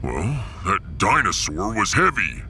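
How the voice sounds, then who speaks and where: A man's voice: sits low, very animated, takes it slowly, bright, forceful tone, slight background noise, voice pushed down, exaggerated voice. A man voicing a big, proud, solemn alien-world warrior with grandiose, ceremonious speech in a science fiction audiodrama.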